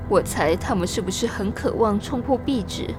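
A woman's voice: mid-range voice, lively intonation, normal speed, over music, lightly acted. A woman acting an anxious, melancholy young wife shut away in a room, in an audio drama. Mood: frightened, obsessed.

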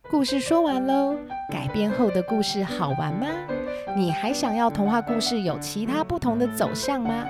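A woman's voice: fairly high pitch, expressive, normal speed, over loud music. A woman hosting and narrating a story for children. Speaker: storyteller, host. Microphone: close, simple home recording.

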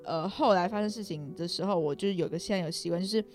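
A woman's voice: on the low side, fast talker, a little mellow. A woman guest on a podcast, chatting casually and reflectively, rambling and rephrasing as she goes. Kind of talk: casual chat, personal reflection. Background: background music.

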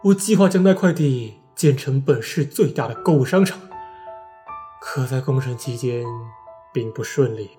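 A man's voice: mid-range voice, animated, moderate pace, warm tone, lightly acted. A man playing an ambitious, desperate businessman making a confession in a radio drama. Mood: solemn confession. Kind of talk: radio drama monologue and acting. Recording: music under the voice. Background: music.

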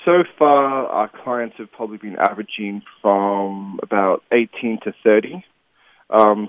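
A man's voice: on the low side, no room sound. Earnest man on a tinny line. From Asia (Hong Kong, Taiwan, Malaysia). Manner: earnest, rambling with many "you know" fillers and restarts. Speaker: tour company founder, guest. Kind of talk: casual interview answers. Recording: dry tinny phone-like line.

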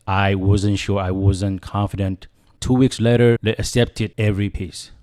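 A man in his thirties from Taiwan with a Taiwanese accent, a native Chinese speaker, talking haltingly as a guest in a radio studio interview. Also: lowish voice, little pitch movement, darkish tone. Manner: halting, with restarts and fillers. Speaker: guest filmmaker. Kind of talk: casual interview.

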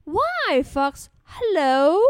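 A small, crafty storybook mouse full of boasts, acted out by a woman. The voice is high and expressive, with bold, cocky delivery at a very leisurely pace. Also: drawn-out words, no room sound, studio quality, full character voice. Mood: feigned friendliness. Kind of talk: storybook character voice.

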